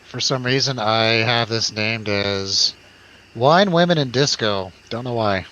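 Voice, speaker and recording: A man's voice: mid-range voice, unhurried, sharp tone, muffled recording. A man hosting a casual film chat, joking around, hesitating and trailing off. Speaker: host of a film review show.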